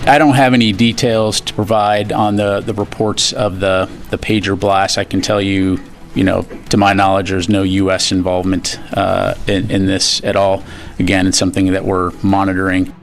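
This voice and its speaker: A man with an American accent giving guarded, halting press briefing remarks as an official spokesman. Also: low-pitched, flat delivery, faint music behind.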